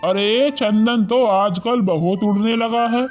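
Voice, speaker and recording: A man playing a jealous, bitter village farmer grumbling in an animated moral story. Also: sits high, takes it slowly. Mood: envious, grumbling. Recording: muffled lo-fi audio under loud music.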